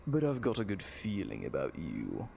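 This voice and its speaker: Gleeful gravelly mad scientist, wildly swooping. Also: male voice, deep voice, huge pitch swings, moderate pace, warm tone, slight background noise, heavily acted. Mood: proud, sinister. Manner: theatrical, gloating, swooping between croons and outbursts. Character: gleeful sadistic male evil scientist doctor, theatrical and menacing. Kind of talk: audio drama villain acting.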